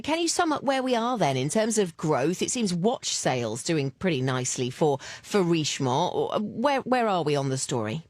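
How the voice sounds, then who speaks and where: A woman anchoring a radio business news bulletin, giving a brisk, conversational market rundown. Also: low-pitched, no echo, studio quality. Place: radio studio. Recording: music bed behind the voice.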